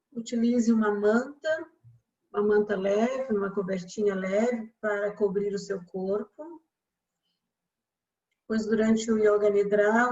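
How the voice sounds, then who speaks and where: Brazilian female yoga instructor, very unhurried and soothing, for guided relaxation; slightly muffled, lo-fi recording. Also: mid-range voice. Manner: calm, soothing instruction with long pauses.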